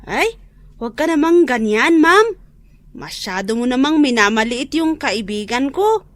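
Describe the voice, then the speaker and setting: A woman's voice: high-pitched, animated, unhurried, dry recording. A woman playing a chatty, helpful young best friend who loves to pass on news in a radio drama. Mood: polite, mildly offended. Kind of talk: radio drama acting in Ilocano and Tagalog.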